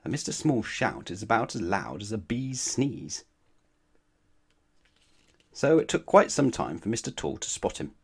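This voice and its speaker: A male storyteller reads a picture book aloud for children. His voice sits fairly low and moves through a wide, animated range as he narrates expressively. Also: unhurried, creaky, pauses often, husky.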